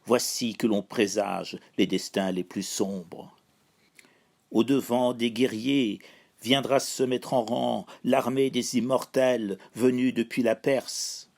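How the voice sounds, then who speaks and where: A man solemnly reciting an epic poem in measured, declamatory phrases broken by pauses. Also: mid-range voice, steady tone, creaky, darkish tone. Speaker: poetry reciter.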